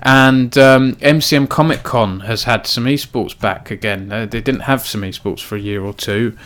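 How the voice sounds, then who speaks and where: Male news host, casual roundup, steady monotone with frequent fillers. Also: mid-range voice, normal speed. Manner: self-described monotone, filler-heavy delivery. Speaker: esports news editor and host.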